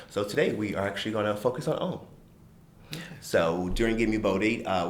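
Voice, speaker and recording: A man with a deep voice, co-hosting a podcast and calmly guiding a meditation, his phrases trailing off softly. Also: a little hiss or hum. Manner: soft-spoken, trails off quietly at the ends of phrases. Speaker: podcast co-host leading a meditation. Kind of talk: calm guided meditation and casual chat. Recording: echoey room.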